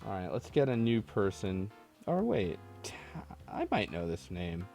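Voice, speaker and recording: A man's voice: low-pitched, big pitch swings, a little slow, creaky, a little mellow, soft background music. A man hosting a casual call-in gaming stream, bantering and mock-arguing with callers and swearing casually. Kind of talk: casual banter with callers about video games.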